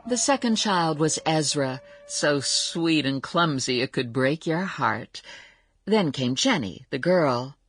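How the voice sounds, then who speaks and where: A woman's voice: deep voice, lively intonation, slow, slight background noise. A woman playing a wry storyteller narrating a family saga in a radio drama. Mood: wry, storytelling.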